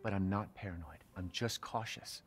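An actor playing a cautious, protective young father in a video game, speaking softly and earnestly. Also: low-pitched, normal speed, slightly rough. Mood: defensive worry.

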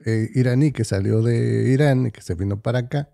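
A skeptical Mexican man in his thirties counters his co-host with examples and statistics. His voice is gravelly and dark-toned. It is recorded dry on a close studio mic. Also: medium pitch, a little fast, no room sound. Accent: Mexican Spanish. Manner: skeptical, reasoning with examples, laughs often. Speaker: podcast co-host. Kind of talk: heated casual debate. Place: small studio with foam panels. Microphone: studio mic, headphones.

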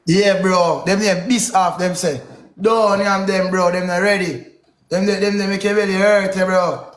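A young Jamaican man with a high voice banters on a livestream. He talks emphatically and repeats his points, and the room around him sounds echoey. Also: normal speed. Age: 20s. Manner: animated, emphatic, repeats himself. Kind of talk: casual bantering livestream chat. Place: indoors in a home living room. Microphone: phone or webcam close in front, split-screen live call.

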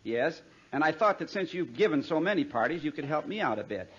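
An actor playing a polite, earnest band arranger with a husky voice in 1940s radio comedy style. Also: male voice, fairly high pitch, big pitch swings, a little fast. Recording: dull vintage radio recording.

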